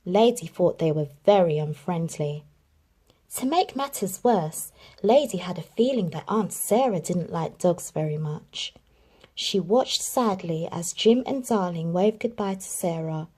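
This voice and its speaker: Woman narrator for a children's read-aloud, expressive and animated, slightly breathy. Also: fairly low pitch. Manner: expressive storytelling with dramatic pauses. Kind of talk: reading a children's picture book aloud.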